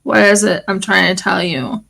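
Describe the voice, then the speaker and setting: Australian woman, craft-stream host, gravelly scratchy voice, loose rambling chat. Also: mid-range voice, expressive, normal speed, fairly bright tone. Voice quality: scratchy morning voice. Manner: rambling, fillers, restarts. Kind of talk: casual livestream chat.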